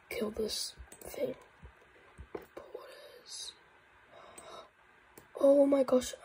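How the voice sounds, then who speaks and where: Congested woman YouTuber, very husky and mellow tone, excitable gameplay chatter. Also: a little high, low-quality recording. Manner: excitable, with frequent whispered asides. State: congested, croaky voice. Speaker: gaming YouTuber. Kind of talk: casual gameplay commentary.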